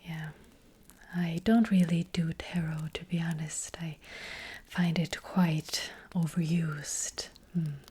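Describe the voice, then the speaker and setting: A woman playing a gentle, hesitant witch fortune teller in an ASMR roleplay, speaking in a breathy, hushed whisper. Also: deep voice, fairly even intonation, full character voice. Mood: gentle, mysterious. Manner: slow, hushed, hesitant, unhurried. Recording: close-up with faint background noise.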